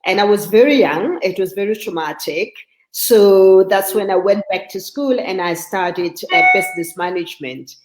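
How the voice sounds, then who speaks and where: A woman's voice: mid-range voice, normal speed, roomy sound, heavy background noise. A woman in her sixties with a South African accent, speaking conversationally as a guest in an online interview. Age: 60s. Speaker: guest, arts advisor.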